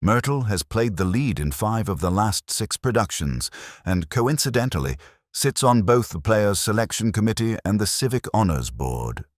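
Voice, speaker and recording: A man voicing a shrewd, unruffled police inspector in a mystery story, with a smooth, dry, measured manner. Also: fairly low pitch, sing-song, no room sound, pitched above the performer's own voice. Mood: quietly amused.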